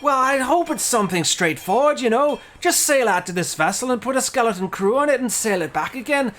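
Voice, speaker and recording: A man's voice: extremely high pitch, expressive, brisk, fairly bright tone, voice pushed up. A man voicing a fussy male dwarf who is wary of adventure, with a put-on Scottish accent. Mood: fretful.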